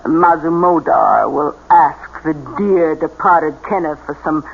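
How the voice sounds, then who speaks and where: A woman's voice: sits low, steady tone, slow pace, vocal fry, heavily acted. An actress playing a scheming, bossy female con artist in a radio drama, speaking in a commanding, insistent way. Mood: scheming, instructive. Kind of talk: old-time radio drama acting. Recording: dull, noisy old radio recording.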